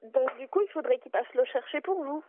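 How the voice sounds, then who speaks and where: A woman's voice: high voice, animated, a little fast, dry recording. A woman working as a bookshop clerk, answering a customer call in a polite but firm, patient way. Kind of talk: customer service phone call. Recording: tinny phone line.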